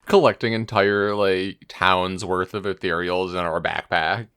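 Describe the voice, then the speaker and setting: A man with a deep voice chats as a podcast co-host. His delivery is casual and conversational, with halting starts and plenty of filler words. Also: fairly even intonation, fairly bright tone, clear, resonant tone. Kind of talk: casual chat.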